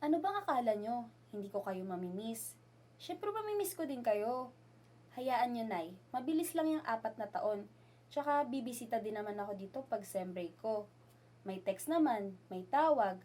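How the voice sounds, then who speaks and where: A woman playing an earnest young provincial student and affectionate daughter in an amateur radio drama, reassuring and gently teasing. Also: fairly high pitch, lively intonation, moderate pace.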